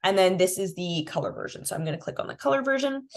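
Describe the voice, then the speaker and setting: A woman's voice: fairly low pitch, lively intonation, fast. Congested woman giving a friendly walkthrough. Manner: chatty, many fillers and self-corrections. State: congested, sniffly. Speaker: programs manager presenting a resource toolkit. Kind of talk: casual screen-share walkthrough.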